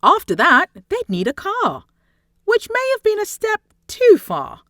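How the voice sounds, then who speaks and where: A woman with a high voice reads a rhyming children's story aloud. Her pitch swings widely as she leans into dramatic emphasis, phrasing each line deliberately. The sound is close and dry. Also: wildly swooping pitch, a bit bright, no room sound. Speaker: storybook reader.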